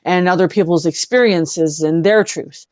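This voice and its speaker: A Canadian woman speaking as an interview guest, answering in a halting, reflective and earnest way. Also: on the low side, a little mellow, no room sound, dull audio. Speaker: guest, singer-songwriter and speaker.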